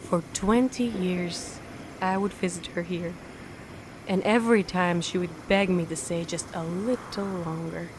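Woman acting as soothing grim reaper lady in a radio drama, solemn n measured, noisy bg. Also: lowish voice, steady tone, close to an everyday voice. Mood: warm, grateful.

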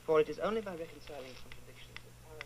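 A man playing an earnest, resolute rebel with a deliberate delivery in an old-time radio drama. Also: on the high side, expressive, noisy background, natural voice. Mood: reading solemnly. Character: earnest, resolute male rebel against the Party.

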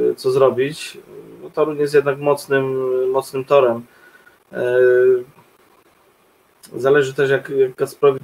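A man's voice: mid-range voice, rough recording. A middle-aged man giving casual sports opinions as a pundit on a video call. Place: indoor room, video call. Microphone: video call microphone.

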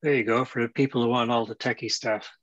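A man presents images in a casual online meeting. His voice is bright and forward, with a mid-range pitch, and it sounds quite dry through a video call microphone. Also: fairly even intonation, fast, no room sound, muffled recording. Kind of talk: casual commentary on shared images.

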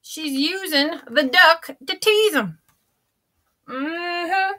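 A woman's voice: very high-pitched, drawn-out words, pushed and bright, a little hiss or hum, full character voice. A woman doing a drawling grandma. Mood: folksy. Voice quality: folksy, drawling, homespun. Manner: chatty and theatrical, gasping and exclaiming. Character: folksy old Southern grandma reading aloud. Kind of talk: storybook read-aloud.

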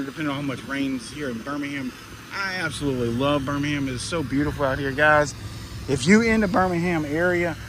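A man's voice: a little high, fairly bright tone, low-quality recording. Plainspoken middle-aged man outdoors. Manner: plainspoken, folksy, instructive. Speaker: pressure washing business owner and trainer. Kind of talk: casual on-site how-to vlog. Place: outdoors at a work site. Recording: faint outdoor background noise.

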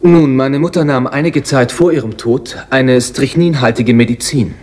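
An actor playing a polite, tentatively insistent gentleman in a radio crime drama. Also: mid-range voice, moderate pace, natural voice. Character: polite, insistent gentleman, son of the dead woman. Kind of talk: German detective radio drama acting.

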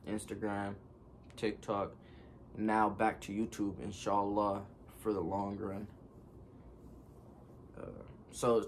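Laid-back young man, lowish voice. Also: a little hiss or hum. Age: 20s. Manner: relaxed, reads questions aloud then answers offhand. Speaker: content creator answering fan questions. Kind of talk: casual Q&A vlog. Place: living room, seated on a couch.

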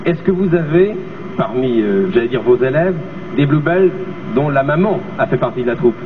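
A man's voice: mid-range voice, expressive, recorded from a distance. A middle-aged man hosting a TV variety show, interviewing a guest with a handheld mic on a studio stage and echoing back her answers. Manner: brisk, repeats the guest's answers. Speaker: TV host. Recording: tinny, noisy old TV broadcast, off-mic.

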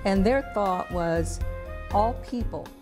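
A woman talks through history in a documentary interview. Her pace is deliberate and her pitch middling. Soft music runs faintly underneath. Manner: explanatory, deliberate.

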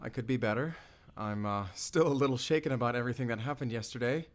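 A man playing an anxious, tender father and lover in an audio roleplay, speaking haltingly with sighs. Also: medium pitch, close to an everyday voice. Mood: shaken, tender. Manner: halting, sighing, soft-hearted.

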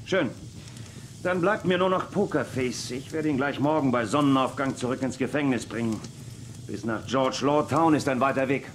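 An actor playing an earnest, determined Wild West sheriff in a children's radio play. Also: male voice, mid-range voice, normal speed, a little hiss or hum, natural voice. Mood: resolute.

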